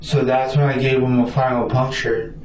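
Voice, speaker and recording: A man haltingly recounts an incident in a police interview, stammering, repeating himself and acting out dialogue in fragments. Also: medium pitch, flat delivery. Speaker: suspect being questioned by police. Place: indoor police interview room. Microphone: distant room recording. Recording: echoey room, distant muffled mic, noisy.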